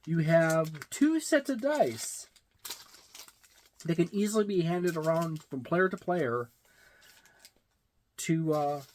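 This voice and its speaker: Halting middle-aged man reviewing casually. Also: a little high, animated, darkish tone. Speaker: tabletop game reviewer. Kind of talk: casual unscripted product review. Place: small indoor room lined with shelves of games.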